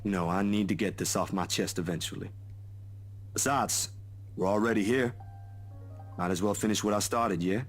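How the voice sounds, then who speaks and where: A man's voice: on the low side, fairly even intonation, normal speed, pauses often, soft background music, natural voice. A man voicing a troubled, guarded young man in a visual novel, speaking earnestly and hesitantly, as in conversation.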